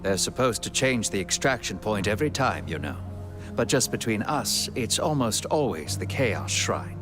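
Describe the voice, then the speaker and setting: An actor playing a dutiful, self-effacing elf scout bred to serve in a fantasy game, speaking formally and politely. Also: male voice, lowish voice, very animated, normal speed, music in the background. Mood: confiding. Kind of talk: cinematic video game acting.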